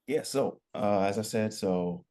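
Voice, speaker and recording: A man in his twenties telling his story as a podcast guest, relaxed and rambling, with lots of fillers. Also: on the low side, unhurried. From United States. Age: 20s. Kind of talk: casual interview chat.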